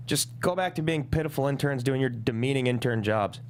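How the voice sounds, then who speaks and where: A curt, defeated middle-aged male politician, played by a woman in a comedy table read. The voice sits low and sounds exasperated, with faint noise and hum behind it. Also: a little fast, a little mellow. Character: grumpy, defeated middle-aged male congressman, prone to panic.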